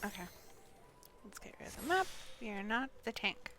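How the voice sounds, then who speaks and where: A woman streaming a game live, narrating quest dialogue in a measured way and adding casual asides. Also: medium pitch, very animated, pushed and bright, slight background noise.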